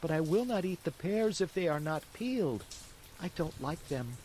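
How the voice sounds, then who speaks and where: A man voicing a fussy, hungry wooden boy puppet in a storybook reading, whining and pleading in a high, excitable voice. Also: moderate pace, a little hiss or hum.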